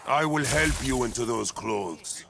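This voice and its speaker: An actor playing an imperious, menacing godlike supervillain in a video game, pompous, commanding and disdainful. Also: male voice, medium pitch, expressive, unhurried, vocal fry, heavy background noise. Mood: cold menace. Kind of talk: video game character acting. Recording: loud game ambience and effects behind.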